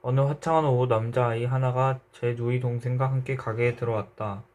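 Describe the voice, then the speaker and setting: A man narrating a literary short story in a measured, reflective way. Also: medium pitch, fairly even intonation, darkish tone.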